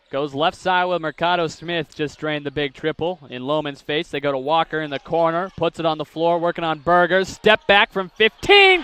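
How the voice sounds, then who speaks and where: Bright, energetic basketball play-by-play man. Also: sits high, smooth, no echo. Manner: rapid calls that rise sharply on big plays. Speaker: play-by-play announcer. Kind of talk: live basketball play-by-play commentary.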